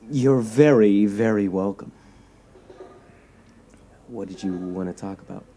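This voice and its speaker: A man's voice: on the low side, measured pace, warm tone, breathy edge. An actor playing an awkward, eager-to-please young man in an audio drama, hesitant and bashful.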